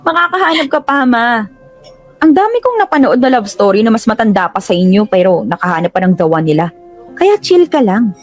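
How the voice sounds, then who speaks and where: A sassy, exasperated young Filipina daughter in a radio drama. Her voice is fairly high and animated, blunt and sarcastic, with music underneath. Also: brisk. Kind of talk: Tagalog radio drama acting. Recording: music bed under dialogue.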